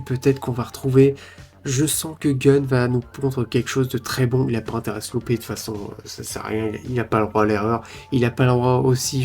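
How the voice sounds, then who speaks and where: A man hosting a casual trailer reaction, excited and rambling, with many fillers and restarts. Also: medium pitch, husky. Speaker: channel host reacting to trailers.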